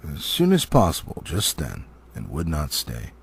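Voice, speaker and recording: Man, storybook narration, very animated pitch, raspy, occasional stumbles. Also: moderate pace. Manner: animated, slightly stumbling cold read. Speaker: amateur audiobook reader.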